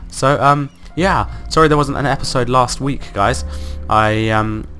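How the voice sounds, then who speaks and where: A man with a croaky voice from being ill, hosting casual gameplay commentary and thinking aloud in an upbeat, rambling way. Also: medium pitch, unhurried, pushed and bright, soft background music. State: croaky from illness. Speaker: let's play host.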